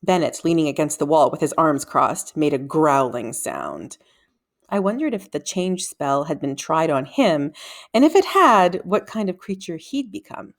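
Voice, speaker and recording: A woman reading a children's book aloud as an expressive, dramatic storyteller. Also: low voice, moderate pace. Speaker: read-aloud reader.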